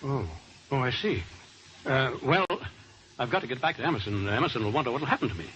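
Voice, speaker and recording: An actor playing a nervous, flustered professor hiding something in a 1940s radio drama, stammering and evasive. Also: male voice, mid-range voice, lively intonation, fast talker, fairly bright tone, lightly acted. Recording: noisy old radio drama recording.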